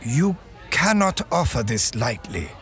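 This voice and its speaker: A man's voice: medium pitch, very animated, fairly bright tone, a faint crowd. A man playing a proud, formal wasteland ally. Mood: resolute. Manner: measured, formal, deliberate. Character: proud, wary male ally, weighing freedom. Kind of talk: audio drama acting.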